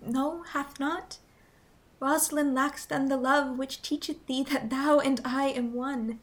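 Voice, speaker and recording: Woman playing a high-voiced devoted young noblewoman. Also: steady tone, measured pace. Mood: tender, resolute. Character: devoted, spirited young noblewoman, loyal loving cousin. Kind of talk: Shakespearean radio play acting.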